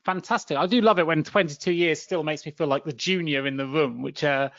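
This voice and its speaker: A man's voice: fairly high pitch, fairly quick, fairly bright tone, dry recording, muffled recording. A man in his forties hosting a webinar as an upbeat, fluent presenter over a video call. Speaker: webinar and podcast host.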